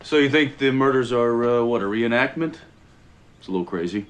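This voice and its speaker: An actor playing a flippant, skeptical investigator brother in a TV drama. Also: male voice, mid-range voice, lively intonation, brisk, natural voice. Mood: wry, skeptical. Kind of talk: TV drama acting.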